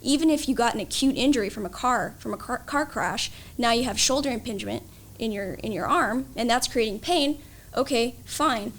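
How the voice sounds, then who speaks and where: A woman's voice: fairly high pitch, lively intonation, brisk. A woman explaining her field as a guest expert in an interview, in an instructive, matter-of-fact way.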